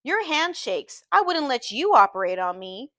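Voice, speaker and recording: A woman's voice: high-pitched, sing-song, moderate pace, fairly bright tone, dry recording, clean studio sound. A woman voicing a drunken, indignant young woman in an audiobook, sullen and quarrelsome.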